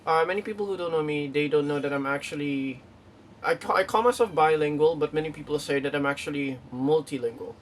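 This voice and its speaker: A man's voice: a little high, a little fast. A young man from the Philippines, vlogging casually in a rambling way, with many fillers and restarts. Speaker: YouTuber. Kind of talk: casual vlog talk to camera. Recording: distant mic with faint room noise.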